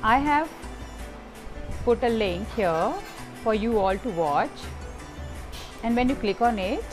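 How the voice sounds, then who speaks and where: A woman's voice: on the high side, expressive, slow, music in the background. A woman teaching young children in an online class. She reads from a textbook and explains it patiently, step by step.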